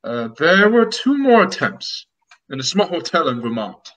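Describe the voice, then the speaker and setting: A young man playing an anxious, self-important groom in melodramatic, drawn-out old-time radio mystery style. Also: a little high, big pitch swings, a bit bright, poor audio. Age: young adult. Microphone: video call.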